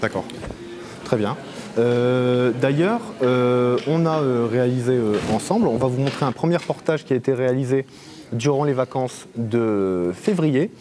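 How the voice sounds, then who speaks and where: A man's voice: medium pitch, normal speed. A man presenting a local programme as host and reporter in an unscripted, somewhat hesitant way. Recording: crowd chatter and background noise.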